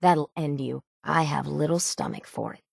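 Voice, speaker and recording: An actress playing a weathered, stubborn female sailor in a video game, with a gruff, plainspoken old-salt way of talking. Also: sits low, normal speed, darkish tone, no room sound, studio quality, heavily acted. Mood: brooding. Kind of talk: video game character voice acting.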